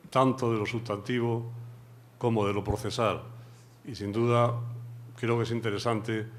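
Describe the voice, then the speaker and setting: A middle-aged Spanish man, a judge giving a formal academic lecture in a courteous, measured way with pauses. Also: mid-range voice. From Spain.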